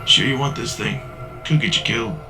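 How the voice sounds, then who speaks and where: A man's voice: mid-range voice, a little fast, over music, close to an everyday voice. A man playing a wary, street-smart cyberpunk drifter in an audio drama, terse and cautionary. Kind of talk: science fiction audio drama acting.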